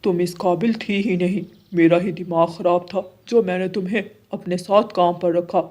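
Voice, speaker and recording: A woman's voice: lowish voice, steady tone, moderate pace, darkish tone, voice pushed down, lightly acted. Woman playing a stern male pigeon boss. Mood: angry scolding. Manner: firm, admonishing. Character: stern male pigeon hotel boss, scolding but fair.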